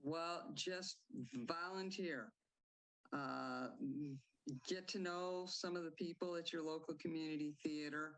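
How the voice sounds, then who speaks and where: A woman playwright giving measured interview answers, now and then restarting her sentences. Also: deep voice, fairly even intonation, a bit bright, low-quality recording.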